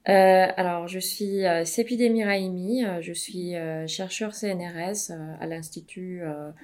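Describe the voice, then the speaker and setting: A middle-aged woman from Iran, a research mathematician, answering interview questions with many pauses and fillers. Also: on the low side, steady tone, darkish tone.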